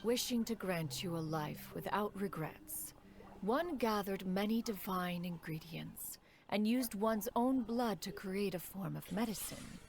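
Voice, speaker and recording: Stately immortal crane woman played by an actress, faintly creaky. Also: mid-range voice, unhurried, a little mellow, lightly acted. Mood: measured, proud. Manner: stately, archaic, refers to self as "one". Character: proud, formal, ancient crane adeptus woman, fondly exacting. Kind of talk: scripted game voice acting, storytelling.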